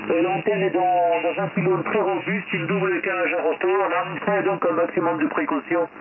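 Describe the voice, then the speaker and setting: A man's voice: high voice, steady tone, normal speed. A man giving casual technical chat as an amateur radio operator. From Belgium. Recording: narrow tinny radio link with clear static.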